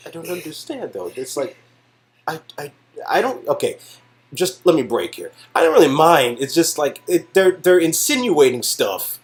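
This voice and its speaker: Animated man reading a game story. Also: fairly high pitch, moderate pace. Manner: animated, breaks off into joking asides. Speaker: reader of game dialogue. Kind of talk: casual dramatic reading.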